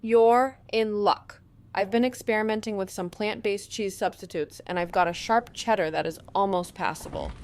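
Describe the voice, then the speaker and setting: A woman's voice: medium pitch, normal speed, close to an everyday voice. A woman playing a helpful, orderly pantry keeper in an audio drama, explaining things matter-of-factly. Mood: helpful, upbeat.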